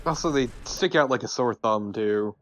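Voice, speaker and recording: A man's voice: mid-range voice, moderate pace, over music. A man co-commentating on a video game in blunt, casual chat with some swearing. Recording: faint background noise.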